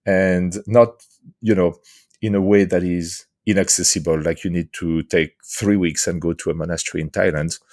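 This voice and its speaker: A middle-aged man from France with a French accent, answering interview questions thoughtfully with many pauses and restarts. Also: deep voice, steady tone, darkish tone, dry recording, clean studio sound. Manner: hesitant, many fillers and restarts. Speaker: guest, author and leadership coach.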